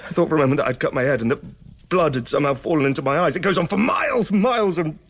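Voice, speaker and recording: A man's voice: a little high, lively intonation, quick pace, slightly rough, dry recording. An actor in a radio drama playing a despairing, haunted male survivor of an alien invasion, with an anguished, stammering, theatrical delivery. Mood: shaken, urgent. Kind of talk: dramatic radio play acting.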